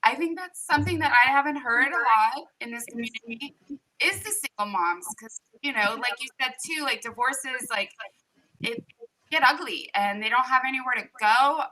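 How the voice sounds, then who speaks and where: A woman with a bright, sharp, somewhat high voice, co-hosting a podcast. She is chatty and emotional, with lots of "like"s as she talks. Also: normal speed, low-quality recording. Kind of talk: casual podcast conversation.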